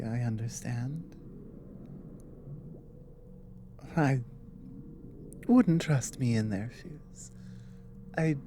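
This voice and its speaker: A woman playing an earnest, apologetic female dragon in an ASMR audio roleplay, explaining things hesitantly in a soft voice. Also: low-pitched, slight background noise. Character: earnest, apologetic female dragon warning a human ally of war.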